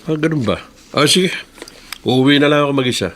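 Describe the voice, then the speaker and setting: A man's voice: on the high side, fairly quick, fairly bright tone, natural voice. An actor playing a kindly, easygoing middle-aged male office boss in a radio drama, with a Filipino accent. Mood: mild, accepting. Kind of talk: Tagalog radio drama acting.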